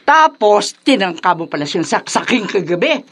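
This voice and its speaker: A woman's voice: mid-range voice, big pitch swings, moderate pace. An actress playing an exasperated, scolding Filipino mother in a radio drama, pleading and reproachful with great emphasis. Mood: stern, reproachful.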